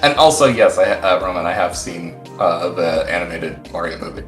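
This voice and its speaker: Bright-voiced American guy in an echoey room. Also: low voice, normal speed, faint music behind. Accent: general American. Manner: rambling, restarts, chuckling. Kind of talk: casual live-stream chat. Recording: roomy echo.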